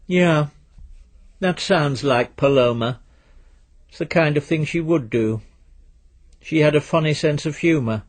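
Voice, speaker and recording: A man's voice: fairly high pitch, measured pace, pauses often. A man voicing a laid-back, slangy young man in a story reading, with casual, offhand delivery. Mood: amused. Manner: casual, slangy, hesitant fillers.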